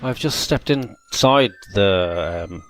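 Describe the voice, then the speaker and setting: A man's voice: fairly low pitch, lively intonation, slow pace, background noise. A man hosting a mock on-location report, chatting loosely with plenty of fillers, indoors at a cultural centre. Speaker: host. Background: orchestra music playing.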